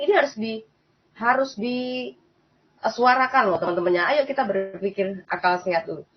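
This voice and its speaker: A woman host giving casual spoken commentary, chatty and repetitive, often turning to address her listeners. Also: medium pitch. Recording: dull lo-fi sound.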